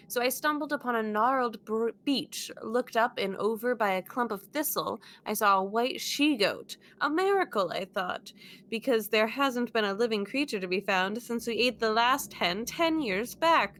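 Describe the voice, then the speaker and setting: A woman's voice: fairly high pitch, normal speed, slight background noise. Giggly woman co-streamer. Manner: giggly, reads with lively rising emphasis. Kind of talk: reading game text aloud and casual chat.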